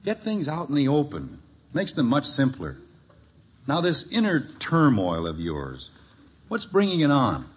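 A man's voice: mid-range voice, wildly swooping pitch, measured pace, husky, exaggerated voice. An actor playing a well-meaning, easily exasperated middle-aged American father in a 1950s radio sitcom, earnest and blustering. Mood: earnest coaxing. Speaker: actor in a family situation comedy. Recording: muffled, noisy old radio recording.

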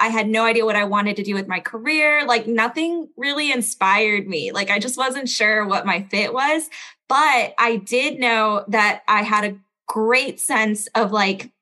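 A woman's voice: medium pitch, sharp tone. A young woman with a standard American accent, a podcast guest talking casually and chattily, with lots of "like" and easy laughs. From born in Belgium, raised overseas. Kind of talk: casual interview chat. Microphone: studio podcast mic.

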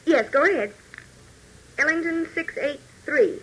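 A woman's voice: sits high, lively intonation, measured pace, fairly bright tone. An actress playing an efficient, polite young woman in an airport office in a 1940s radio serial, clipped and businesslike. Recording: tinny, noisy old 1940s radio transcription.

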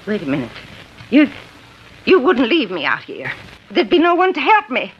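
Desperate stranded spinster. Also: female voice, on the high side, wildly swooping pitch, normal speed. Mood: frightened. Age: 40s. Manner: pleading, panicky. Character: lonely middle-aged spinster, stranded and desperate. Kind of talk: 1950s radio drama acting. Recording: hissy dull 1950s radio recording.

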